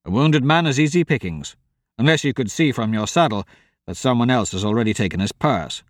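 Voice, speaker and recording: A man voicing a brooding, cynical rogue in a fantasy audiobook, speaking curtly in a dry deadpan. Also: brisk, no room sound, natural voice. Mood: dry, matter-of-fact. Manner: low-key, dry, deadpan. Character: cynical, brooding male rogue, curt and unsentimental.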